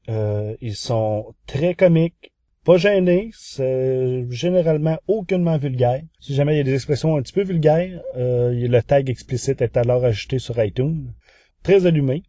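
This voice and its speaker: A man's voice: medium pitch, mellow, no echo, clean studio sound. A man with a Quebec French accent presenting a semi-prepared review column as a podcast columnist.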